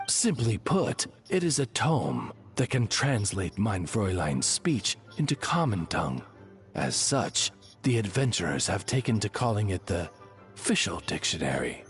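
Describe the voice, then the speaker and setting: A man's voice: fairly low pitch, very animated, unhurried, soft background music. A man playing a dignified raven familiar in an anime game, a courteous, deferential interpreter with formal speech. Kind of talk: English-dubbed anime game acting.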